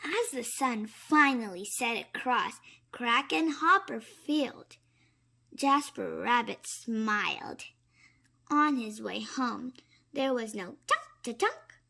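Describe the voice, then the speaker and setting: Very animated woman reading a bedtime story. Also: on the high side, vocal fry. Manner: animated, dramatic, with suspenseful pauses. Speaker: storybook reader. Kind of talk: expressive read-aloud of children's bedtime stories.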